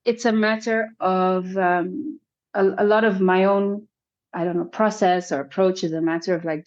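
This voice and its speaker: Low-voiced woman filmmaker, thoughtful. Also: darkish tone, muffled recording. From South Sudan. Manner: thoughtful, many restarts and hedges. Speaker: filmmaker guest. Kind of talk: reflective interview answers.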